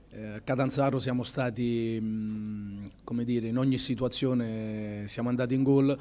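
A football coach in his forties speaks at a table microphone in a press room after a match. His voice is mellow and dark, and he answers in a measured, thoughtful way with frequent pauses and fillers. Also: medium pitch, a little hiss or hum. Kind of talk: post-match press conference. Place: press room in front of a sponsor backdrop.

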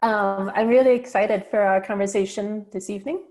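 A middle-aged American woman giving an online architecture lecture over a video call, in a clear, steady academic way with some fillers. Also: mid-range voice, fairly quick. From United States. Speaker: guest lecturer.

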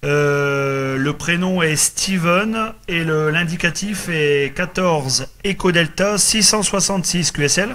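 A man's voice: a little high, takes it slowly, few pauses, bright, forceful tone. A man from northern France chatting casually as an amateur radio operator, often repeating reassuring little phrases. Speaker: radio operator making contacts. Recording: noticeable background noise from radio set.

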